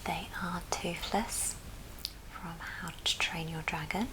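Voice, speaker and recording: A woman's voice: on the low side, fairly even intonation, slow. A woman whispering throughout as she gives an ASMR craft tutorial. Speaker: ASMR craft video host. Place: at a friend's house, indoors. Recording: noticeable background noise and clicks.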